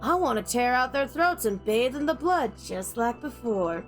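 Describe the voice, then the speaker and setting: A woman's voice: on the high side, lively intonation, a little slow, fairly bright tone, faint music behind, pitched above the performer's own voice. A woman voicing a fierce, vulgar demon in a storybook reading, with a Southern US accent and a taunting, madly gleeful manner. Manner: taunting, coaxing, madly joyful.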